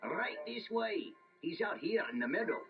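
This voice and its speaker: Drawling cartoon stable hand, swooping. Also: male voice, high-pitched, big pitch swings, normal speed. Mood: obliging. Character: sly male stable hand, secretly a villain. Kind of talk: children's story cassette acting. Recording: dull old cassette audio. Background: loud background music.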